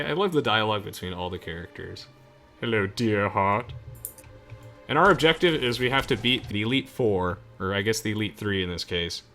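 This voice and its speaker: Bright-voiced young man commentating on gameplay. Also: lowish voice. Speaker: let's play host. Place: game voice chat with music behind.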